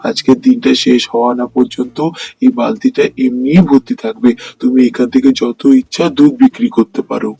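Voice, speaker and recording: A man's voice: medium pitch, big pitch swings, a little fast, gravelly, mellow, slightly rough, dry recording, heavily acted, poor audio. A man playing an honest, hardworking village milkman in a fairy-tale cartoon, speaking earnestly and pleadingly. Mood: contented.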